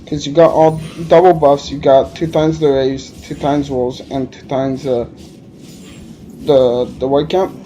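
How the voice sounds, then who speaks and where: A man's voice: medium pitch, flat delivery, a little slow, dark tone, a little hiss or hum, rough recording. A man from Alberta with a Quebec French-tinged accent, rambling through gameplay commentary with many hesitations. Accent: Quebec French-tinged English. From Alberta, Canada. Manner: rambling, hesitant, lots of uh fillers. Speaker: gamer.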